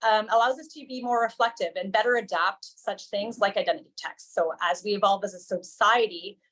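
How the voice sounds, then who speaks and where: A woman presenting research at an academic symposium in a measured way, often pausing on "um". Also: mid-range voice, a bit bright, rough recording. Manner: measured, with frequent ums.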